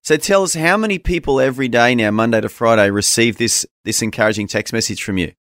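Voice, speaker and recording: A man's voice: mid-range voice, fast talker, a bit bright, no echo, clean studio sound. An Australian man working as a radio interview host, speaking in an affirming, conversational way that prompts his guest.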